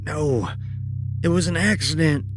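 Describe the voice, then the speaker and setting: A man playing a frightened, guilt-ridden dog owner in a horror story, pleading in strained, drawn-out cries. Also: fairly high pitch, music in the background, pitched above the performer's own voice, lightly acted. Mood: desperate pleading.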